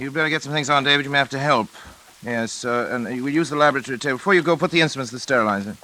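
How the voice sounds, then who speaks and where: A man's voice: medium pitch, very fast, pushed and bright, natural voice. Driven, commanding male scientist played by an actor. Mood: brisk, commanding. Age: middle-aged. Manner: theatrical, commanding, intense. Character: driven, cold middle-aged male scientist and doctor. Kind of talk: 1940s radio drama acting.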